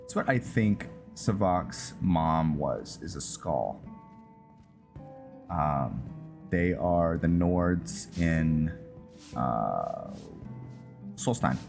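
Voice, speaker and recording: A man thinks aloud as he comments on his game playthrough, pausing often. His voice is very deep and gravelly, and he takes his time. Game music plays clearly behind him. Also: big pitch swings, a little mellow. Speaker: gamer narrating a roleplay playthrough. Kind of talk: casual gameplay commentary.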